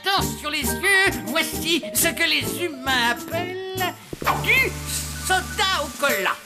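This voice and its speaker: Une comédienne doublant un inventeur monstre vaniteux et sûr de lui. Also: female voice, extremely high pitch, expressive, slow pace, over loud music, exaggerated voice. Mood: pompous. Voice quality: pompous, self-assured. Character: smug genius monster inventor.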